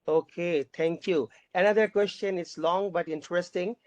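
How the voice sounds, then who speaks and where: A panel moderator reading out audience questions, haltingly, often repeating and rephrasing. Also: medium pitch, steady tone, a little mellow, dry recording.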